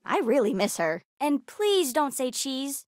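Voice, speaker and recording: A young girl from a space adventure game, her voice high and small. She is bookish and endlessly curious, chatting earnestly like a child among grown-ups. The sound is close and dry, with no room around it. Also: sing-song, measured pace, no echo. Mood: chatty, curious. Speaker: video game voice actress. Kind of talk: video game character dialogue.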